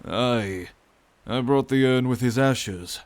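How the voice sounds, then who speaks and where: An actor playing a solemn, loyal sailor with a smooth, resonant voice in an audio drama. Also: male voice, medium pitch, slow pace, close to an everyday voice.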